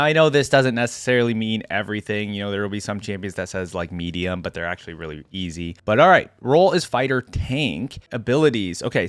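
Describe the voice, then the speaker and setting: A man's voice: on the low side, dry recording. Casual man reacting, close dry sound. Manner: curious, musing aloud as he goes. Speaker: host of a reaction video channel. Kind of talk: casual game lore reaction, reading aloud and commenting.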